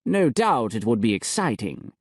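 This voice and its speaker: A man's voice: mid-range voice, very animated, no echo, studio quality. A man doing a smug scheming bishop. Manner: slow, gloating.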